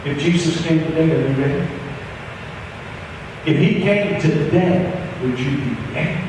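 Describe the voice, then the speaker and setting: Echoing, high-pitched American preacher. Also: male voice, measured pace. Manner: dramatic, repeats phrases for emphasis, swinging between hushed and raised. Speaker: pastor preaching a sermon. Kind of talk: fervent revival-style sermon to a congregation. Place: large church sanctuary. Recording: very echoey hall, distant mic, clear background noise.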